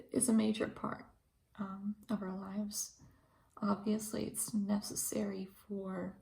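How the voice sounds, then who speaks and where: A woman in her thirties from Ukraine speaks slowly and thoughtfully, pausing often as she works through her ideas. Her voice has a heavy, gravelly fry, and her pitch sits in an ordinary middle range. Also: mellow. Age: 30s. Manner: thoughtful, hesitant, many pauses and fillers. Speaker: pharmacist and video host. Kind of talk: reflective casual monologue.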